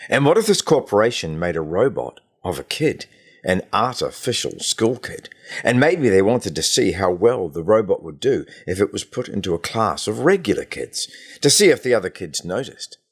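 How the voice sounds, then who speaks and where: Male audiobook narrator, very low register, animated delivery, first-person storytelling for a young readers' novel. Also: moderate pace, husky. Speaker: author reading own novel excerpt.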